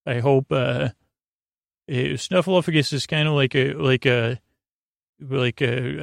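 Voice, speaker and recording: Male sleep-podcast host: creaky mid-range voice, dry sound, halting and goofy rambling with frequent stammers. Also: mid-range voice, dry recording. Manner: halting, meandering, with stammers and self-corrections; goofy, whimsical. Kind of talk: rambling bedtime monologue to help listeners sleep.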